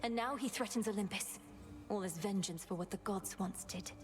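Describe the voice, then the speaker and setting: A woman's voice: mid-range voice, normal speed, a little mellow, faint music behind, natural voice. A woman voicing an earnest, determined young witch princess in a video game, speaking in a solemn, formal manner. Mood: grave, determined.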